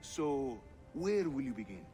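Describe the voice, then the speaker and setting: An actor playing an affable, teasing Turkish assassin fighter with a Turkish accent in a video game. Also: male voice, mid-range voice, big pitch swings, unhurried, warm tone, soft background music. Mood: curious, helpful. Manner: jovial, playful. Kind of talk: dramatic game acting.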